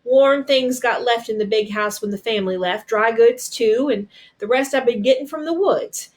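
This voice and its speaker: A woman's voice: fairly high pitch, moderate pace, pitched above the performer's own voice, close to an everyday voice. A woman reading a storybook as a loving, devout middle-aged mother with a tender, folksy way of talking. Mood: relieved. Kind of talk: storybook read-aloud character.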